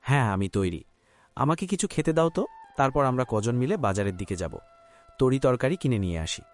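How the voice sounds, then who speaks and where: A man's voice: mid-range voice, very animated, fairly quick, faint music behind, lightly acted. A man voicing a practical village husband and father in a cartoon. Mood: matter-of-fact. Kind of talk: scripted cartoon dialogue.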